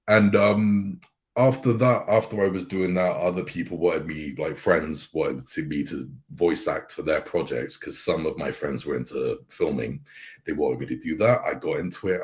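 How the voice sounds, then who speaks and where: A man with a very deep voice, a guest voice actor telling his story in relaxed casual chat and laughing easily.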